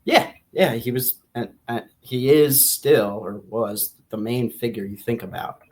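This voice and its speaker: A man co-hosting a podcast, chatting casually about a book in an informal, halting way, often rephrasing his points. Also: mid-range voice, darkish tone. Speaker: podcast co-host discussing a book.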